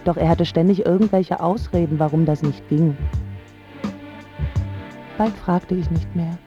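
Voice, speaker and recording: A woman's voice: lowish voice, unhurried, close to an everyday voice. An actress playing a smitten young woman from a pampered home, narrating intimately and lyrically in a radio drama. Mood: dreamy, smitten. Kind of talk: scripted first-person narration in a German radio drama. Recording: slightly dull sound with music underneath. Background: prominent music.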